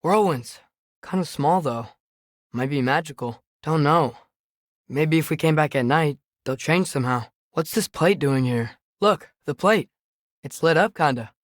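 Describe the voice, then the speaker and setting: A man's voice: a little high, no echo. An earnest, alert adolescent boy from a fantasy video game, speaking with an American accent. His voice is light and youthful, recorded close and dry. He talks casually and curiously, like a companion pointing out what he notices. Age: teenager. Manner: casual, conversational, observant.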